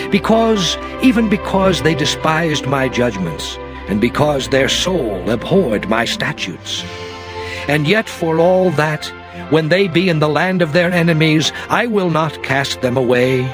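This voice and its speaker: Over clear background music, a man acts out a stern God in a scripture drama. The voice sits a little high and declaims solemnly, in grave archaic phrases. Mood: solemn, resolute. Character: God, stern male deity pronouncing curses and covenant. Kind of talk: dramatized King James scripture reading. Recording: music behind the voice.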